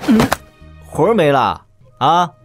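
An actor playing a roguish young prince's heir in a wuxia audio drama, with a flippant, teasing manner. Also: male voice, a little high, very animated, slow pace, music in the background.

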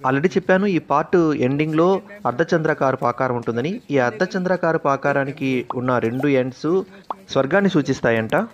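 A young man with a Telugu accent narrates his travel vlog and explains each sight as he goes. His voice sits a bit high, and he stops often on "aa" fillers while mixing English words into his talk. The recording is dull and lo-fi. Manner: informative, with frequent "aa" fillers and English words mixed in. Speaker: travel vlogger.

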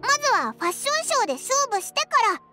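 A small floating fairy sidekick for a Japanese video game. The voice is extremely high, swinging and expressive, with a cheeky boyish way of talking and clear music behind it. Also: female voice, racing. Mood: eager, confiding. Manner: brash, rough boyish speech, expressive.

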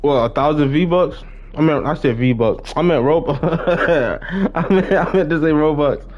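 A man's voice: fairly high pitch, unhurried, muffled recording. A young adult man chatting casually in street slang as he streams live gameplay. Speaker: game streamer.

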